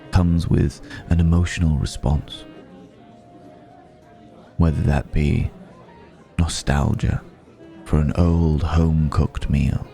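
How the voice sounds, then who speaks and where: A man playing a refined, wistful, centuries-old vampire in an intimate ASMR roleplay, speaking reflectively with lingering pauses. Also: a bass voice, creaky, dark tone, full character voice. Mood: musing.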